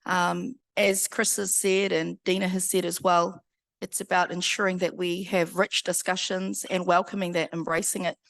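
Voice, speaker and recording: A woman's voice: lowish voice, level tone, moderate pace, creaky, fairly bright tone, dry recording. A woman, a school board co-chair, confidently summing up in a lecture Q&A. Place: parish hall.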